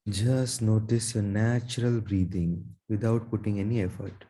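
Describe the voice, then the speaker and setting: A man leading a guided meditation in a soothing, gentle manner, leaving long pauses between his phrases. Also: on the low side, fairly even intonation, breathy edge. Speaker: meditation guide, Reiki teacher.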